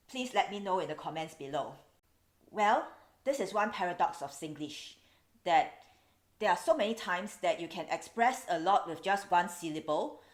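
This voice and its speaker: A woman in her forties from Singapore with a Singaporean English accent, explaining things in a measured, wry vlog. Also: medium pitch. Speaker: video host. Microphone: camera's built-in mic.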